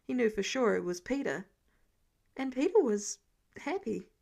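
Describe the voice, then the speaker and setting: A woman reading fiction aloud in an expressive audiobook narration style. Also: on the high side, unhurried, gravelly. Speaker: fan fiction reader.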